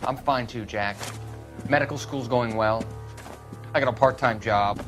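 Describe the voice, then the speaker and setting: A man's voice: medium pitch, a little slow, music in the background, lightly acted. A male actor playing a young medical student and war veteran in a video game, earnest and pleading. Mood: uneasy.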